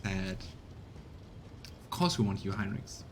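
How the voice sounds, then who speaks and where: A man's voice: animated, slow. A man doing a voiced let's play, reading game text expressively and reacting to it as a streamer. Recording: low rumbling background noise.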